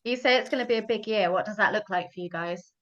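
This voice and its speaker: A woman's voice: mid-range voice, fast, fairly bright tone. British woman hosting over a dull video call. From United Kingdom. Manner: chatty, friendly, laughs easily. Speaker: webinar host. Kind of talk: hosting a webinar interview.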